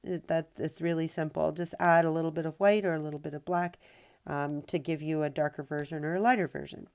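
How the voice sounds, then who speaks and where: Low-voiced woman, nasal from a cold. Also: fairly even intonation, fairly quick, darkish tone, clear, resonant tone. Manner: chatty, with many ums and uhs. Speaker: rock painting tutorial host. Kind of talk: casual voice-over tutorial narration.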